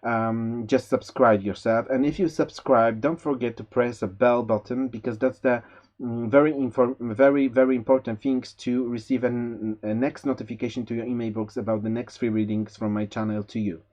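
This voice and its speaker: A man with a Polish accent reading a tarot forecast, giving gentle spiritual advice with many fillers and restarts. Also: medium pitch, fairly even intonation, moderate pace. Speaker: tarot reader. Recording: slightly dull lo-fi sound.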